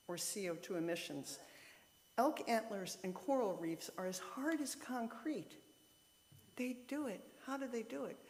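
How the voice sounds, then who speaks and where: A woman's voice: medium pitch, darkish tone. Woman giving a talk in a reverberant hall. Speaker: lay speaker at a church service. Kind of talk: informal lay sermon with slides. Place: church sanctuary, speaking to a congregation. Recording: reverberant hall with long echo.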